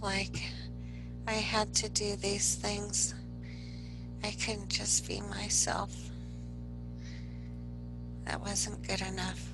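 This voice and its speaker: A woman speaking as a hypnosis client, giving earnest affirmations and halting short answers, with music behind her. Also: medium pitch, expressive, gravelly, poor audio. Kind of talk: trance-like answers and spoken affirmations.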